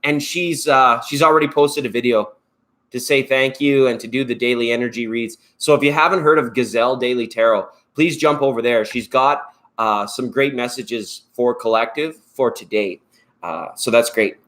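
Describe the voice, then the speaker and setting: A man's voice: medium pitch, normal speed, fairly bright tone. Canadian guy doing a tarot livestream, chatty and rambly, keeps thanking folks. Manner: chatty, rambling, upbeat, thanking viewers by name. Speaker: tarot reader hosting a live stream. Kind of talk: casual live-stream chat.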